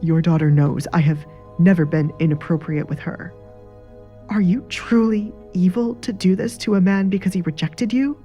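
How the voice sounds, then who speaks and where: A woman's voice: fairly low pitch, steady tone, normal speed, warm tone, faint music behind, natural voice. A woman voicing an honest male butler who has been wronged, earnest and defensive, in an audio drama. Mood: indignant, pleading.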